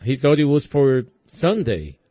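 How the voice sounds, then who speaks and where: A male preacher delivering a sermon in a hoarse voice, speaking in deliberate, halting phrases with many pauses. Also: medium pitch, very animated, highs cut off.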